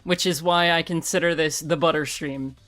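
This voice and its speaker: A woman's voice: low-pitched, fairly even intonation, normal speed, fairly bright tone, no room sound. Congested young woman streamer, swearing freely. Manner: chatty, laughs often, swears freely. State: congested, sore throat. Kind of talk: casual game livestream chat. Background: faint game music.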